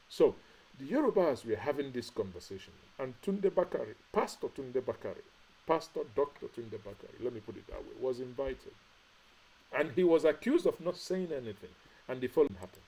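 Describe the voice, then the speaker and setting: A man's voice: mid-range voice, mellow, rough recording. A Nigerian man giving impassioned live-stream commentary, straining his voice and repeating rhetorical questions. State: straining, says he is shouting and has a headache. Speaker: online commentary host.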